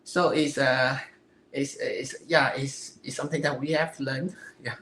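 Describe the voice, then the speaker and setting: A woman from Singapore with a Singaporean English accent, answering interview questions earnestly and haltingly, searching for her words. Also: rumbling low, fairly even intonation, fairly bright tone. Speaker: interview guest, physique competitor. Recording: echoey room, faint ambient noise.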